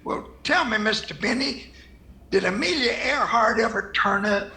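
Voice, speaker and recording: A man's voice: high-pitched, animated, a little slow, sharp tone, roomy sound, full character voice. An actor playing a meek, naive old male guard in an old-time radio comedy, earnest and out of touch. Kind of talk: 1940s radio comedy acting.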